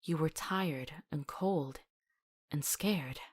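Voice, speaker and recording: A woman's voice: low voice, slow pace, husky, exaggerated voice. A woman playing a compassionate, earnest and stubborn companion to a monster hunter in a visual novel. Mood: gentle, soothing.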